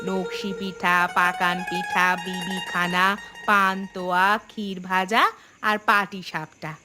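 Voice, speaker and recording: An older village matriarch played by a woman speaks in a gentle, modest, soothing manner. It is family cartoon dubbing, with prominent music behind the voice. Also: fairly low pitch, little pitch movement, takes it slowly.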